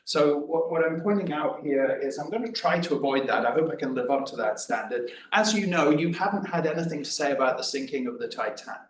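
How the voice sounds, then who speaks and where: A man's voice: fairly high pitch, expressive, a little fast, hardly any pauses. A man giving a chatty, self-deprecating discussion of a paper at a conference, often restarting his words. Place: conference room. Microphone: away from the room microphone. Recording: roomy, distant mic, faint noise.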